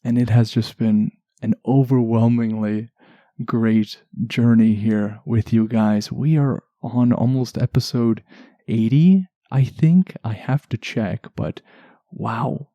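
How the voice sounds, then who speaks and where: Slow, mellow, low-voiced man, soothing host. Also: no echo, lo-fi sound. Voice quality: soft, sleep-inducing voice. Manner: gentle, unhurried, chatty asides. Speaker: podcast host. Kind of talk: relaxation podcast, soft soothing narration.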